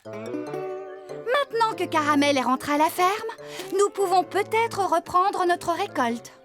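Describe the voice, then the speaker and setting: Very high-voiced, brisk cartoon farmer. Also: female voice, loud music behind the voice, exaggerated voice. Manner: scolding, brisk, expressive. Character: busy young woman farmer, brisk and easily exasperated. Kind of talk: French children's cartoon acting.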